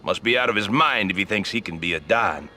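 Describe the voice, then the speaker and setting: An actor playing an embittered old-school street mobster in a video game, grumbling in colloquial, streetwise talk. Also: male voice, lowish voice, animated, fairly quick, bright, forceful tone. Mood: bitter. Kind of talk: video game crime drama acting.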